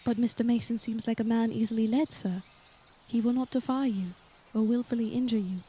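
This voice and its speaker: An actress playing a reserved, principled young woman in service in a period audio drama, measured, earnest and deferential. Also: a little high, steady tone, mellow, a little hiss or hum, lightly acted. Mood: quiet concern. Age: young adult.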